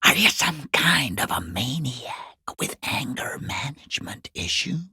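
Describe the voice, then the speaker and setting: A man playing a mocking, sinister unseen watcher in a video game, in a hoarse whispering rasp with taunting, drawn-out phrasing. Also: mid-range voice, sing-song, pushed and bright, no echo, clean studio sound, heavily acted. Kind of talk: video game character voice lines.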